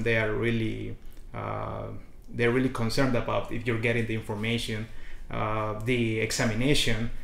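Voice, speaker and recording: A man's voice: on the low side, vocal fry. A young man from Honduras with a Honduran Spanish accent, a native Spanish speaker, answering in a casual interview with fillers and restarts. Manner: animated storyteller with frequent restarts and fillers. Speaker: international student guest. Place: small colorful lounge indoors. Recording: echoey room.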